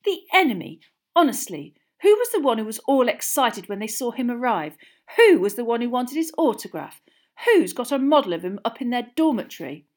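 Indignant teenage witch, acted by a woman narrator. Raised pitch, swooping emphasis, defensive, children's fantasy audiobook. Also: sing-song, normal speed, hardly any pauses. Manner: shrill, emphatic.

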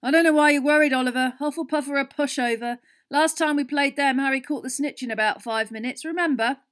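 Female reader as teenage boy teammate, audiobook, raised pitch, impatient, confident. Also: a little fast, a bit bright. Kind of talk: audiobook character voice.

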